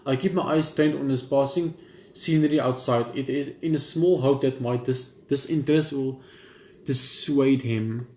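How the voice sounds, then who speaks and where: A man's voice: mid-range voice, steady tone, moderate pace. A man reading a visual novel's text aloud in a let's play, stumbling and rereading now and then. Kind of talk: let's play read-aloud narration with asides. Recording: roomy echo with game music behind. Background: video game music.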